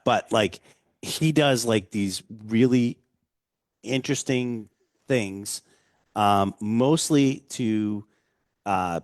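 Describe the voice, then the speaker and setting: A man's voice: lowish voice, extremely slow, pauses often, no room sound, clean studio sound. A man hosting a casual whiskey tasting, chatty and rambling with jokes. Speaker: host.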